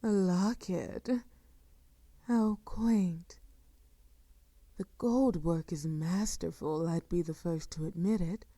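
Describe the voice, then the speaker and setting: A woman acts out a sadistic female vampire with a low, very mellow voice, close and completely dry. She speaks slowly in soft, courtly phrases that slip toward whispers. Her manner is seductive and predatory, made for an intimate audio roleplay. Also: no room sound. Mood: curious, coy. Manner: silky, formal, teasing, often dropping to a whisper. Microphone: close microphone, dry.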